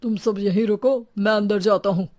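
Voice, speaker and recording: A woman's voice: medium pitch, fairly even intonation, normal speed, darkish tone, lightly acted. A woman plays a neighbourhood man in a cartoon tale. He reasons in a matter-of-fact, sceptical way and likes to tease, in a mid-range voice. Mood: teasing. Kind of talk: Hindi cartoon story acting.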